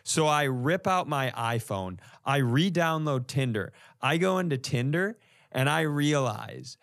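A man's voice: mid-range voice, lively intonation, measured pace, creaky, fairly bright tone. A man telling comic stories in casual podcast chat, with an exasperated, profane delivery. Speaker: comedian, podcast co-host.

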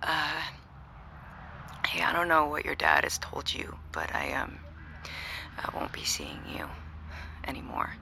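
An actress playing a regretful, affectionate woman, halting and hushed as she leaves a voice message. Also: low-pitched, sing-song, vocal fry, in a whisper, heavily acted. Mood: regretful, tender. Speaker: actor. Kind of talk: intimate audio drama acting.

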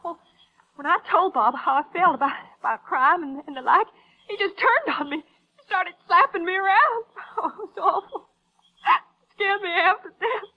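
Scheming young woman, extremely high pitch, sharp tone, whiny, gushing, American accent, old radio western. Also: lively intonation, moderate pace, exaggerated voice, low-quality recording. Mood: tearful, aghast. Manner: gushing, pleading, whiny melodrama. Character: scheming young woman feigning frightened, tearful innocence. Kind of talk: 1950s radio western melodrama. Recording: vintage 1950s radio drama recording.

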